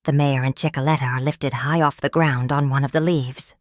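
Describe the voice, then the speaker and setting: A woman reading a children's story aloud in an expressive storytelling manner. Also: a bass voice, fairly quick, dry recording, clean studio sound. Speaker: storybook reader. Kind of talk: scripted read-aloud narration of a children's story.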